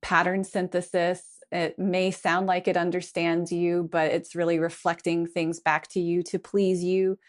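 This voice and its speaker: A woman with a somewhat low-pitched voice talks through technology in a relaxed podcast conversation. She is thoughtful and explanatory, laying out her points one after another as a tech consultant on the show. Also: a little slow, hardly any pauses.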